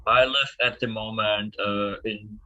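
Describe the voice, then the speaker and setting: A man's voice: lowish voice, fairly even intonation, measured pace, bright, forceful tone, highs cut off. A man from East Germany with a German accent, introducing himself on a webinar. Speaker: accordion craftsman.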